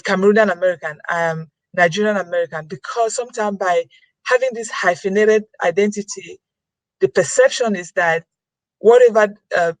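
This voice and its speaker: A woman's voice: fairly low pitch, no echo, low-quality recording. A woman giving an earnest webinar talk, repeating key phrases for emphasis over a computer mic. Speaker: webinar presenter.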